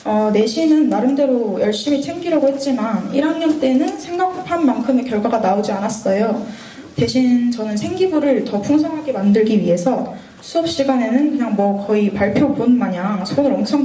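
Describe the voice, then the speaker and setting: Woman in her 20s, a bit hoarse from a cold, mellow voice, sounds far from the mic in a roomy space. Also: on the high side, animated, normal speed, a little hiss or hum. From North Gyeongsang, Korea. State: sore throat from a cold. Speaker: university student mentor. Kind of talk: prepared mentoring talk.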